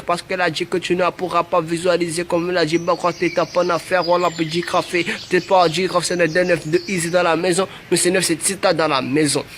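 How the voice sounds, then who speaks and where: A man's voice: high-pitched, fairly bright tone, slight background noise. A man in his twenties from Marseille, with family from Mayotte, rapping an amateur freestyle in rhythmic, repetitive chanted lines full of boastful street slang. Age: 20s. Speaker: rapper.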